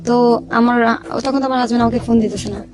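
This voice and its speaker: A woman's voice: a little high, fairly even intonation, rapid-fire. A woman with a Bangladeshi accent tells her life story informally as a guest, acting out the dialogue as she goes. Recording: music under the voice.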